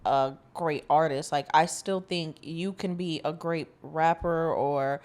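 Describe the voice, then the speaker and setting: A woman's voice: sits low, fairly even intonation, slow pace, darkish tone. A woman speaking casually as part of a music discussion.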